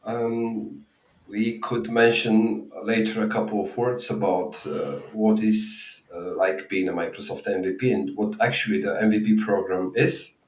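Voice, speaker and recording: A man's voice: fairly low pitch, steady tone, measured pace. Man from Bulgaria, roomy and distant. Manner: hesitant, many fillers and restarts. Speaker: podcast co-host. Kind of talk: casual tech chat.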